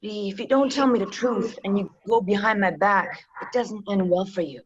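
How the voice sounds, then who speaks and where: A woman reads a cool, dangerous gang boss who runs a card table, keeping her voice low and even and dropping to soft, threatening whispers. The audio is dull and lo-fi. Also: normal speed, lightly acted. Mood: menacing. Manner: calm, quietly threatening, drops to whispers. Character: cool menacing female card-game crime boss. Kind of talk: pilot table read.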